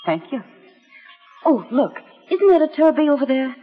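Demure lilting young temptress, reverberant. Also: female voice, high voice, sing-song, normal speed, soft background music. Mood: coy. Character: demure, flattering young femme fatale feigning innocence. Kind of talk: 1940s radio drama acting. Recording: dull vintage radio recording, long reverb.

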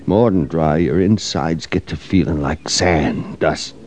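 An actor playing a talkative, brooding cavalry veteran in an old radio western, speaking in a folksy American drawl. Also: male voice, extremely low pitch, unhurried, darkish tone. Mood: haunted, intense. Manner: folksy drawling frontier soldier speech.

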